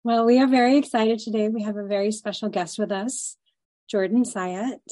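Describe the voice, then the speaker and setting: A woman co-hosting a podcast with a head cold, speaking in an encouraging, measured way. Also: mid-range voice, steady tone, mellow, no echo. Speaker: podcast co-host. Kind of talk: casual podcast chat.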